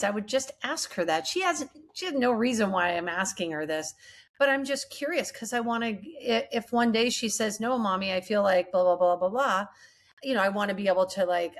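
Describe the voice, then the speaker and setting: Podcast host: woman with an average-pitched voice, informal and supportive, frank conversational interviewing.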